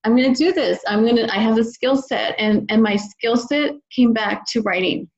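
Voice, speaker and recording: A woman's voice: on the high side, normal speed, muffled recording. A middle-aged woman talking enthusiastically and at length as a guest in a conversational interview, an author discussing work. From United States. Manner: enthusiastic, talkative. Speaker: podcast guest, children's book author.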